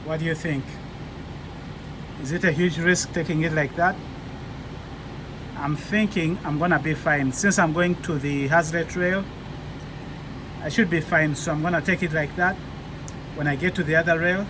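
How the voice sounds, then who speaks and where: A man vlogging as a truck driver, casually talking through each step and thinking aloud in a halting way. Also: fairly high pitch, fairly even intonation. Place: truck cab and outdoor rail yard. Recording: background vehicle and ambient noise.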